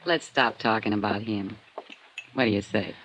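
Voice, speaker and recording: An actress playing a tipsy, sultry and evasive lonely wife in a 1950s radio drama. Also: female voice, very deep voice, fairly even intonation, normal speed, fairly bright tone, slight background noise. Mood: languid, flirting.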